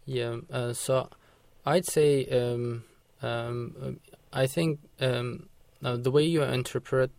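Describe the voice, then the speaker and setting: A man's voice: medium pitch, fairly even intonation, takes it slowly, gravelly, few pauses, a little mellow, dry recording. A man answering a caller's question as a guest on a call-in radio show, hesitant and full of ums.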